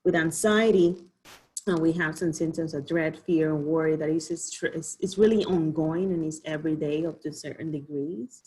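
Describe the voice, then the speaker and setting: Halting Dominican-born woman doctor, husky voice. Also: low-pitched, steady tone, warm tone, low-quality recording. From Dominican Republic. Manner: hesitant, many ums and restarts. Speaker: physician giving a health talk. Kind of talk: informal online presentation.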